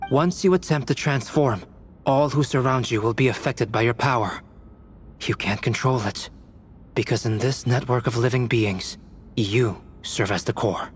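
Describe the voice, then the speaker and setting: An actor playing a composed young alchemist knight in a video game, speaking in a measured, formal way. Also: male voice, medium pitch, soft background music, close to an everyday voice. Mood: coolly challenging.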